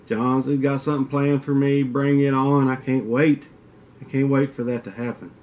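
A man's voice: mid-range voice, level tone, normal speed, darkish tone. A man in his forties with a Kentucky southern drawl, rambling casually to a desk webcam in a den and trading teasing trash talk. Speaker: outdoors channel host. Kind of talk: casual vlog talk to camera. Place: indoor den with mounted antlers.

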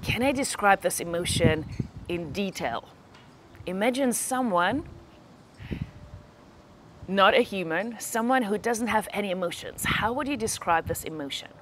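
A woman leading a guided meditation, giving slow, gentle instructions with long pauses between phrases. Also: medium pitch, expressive, background noise.

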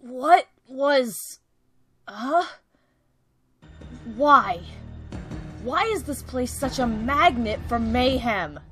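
A woman voicing a cocky, energetic young male cartoon hedgehog, brash, teasing and earnest, in an amateur comic dub. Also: sits high, drawn-out words, pauses often, full character voice. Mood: cocky, indignant. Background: background music.

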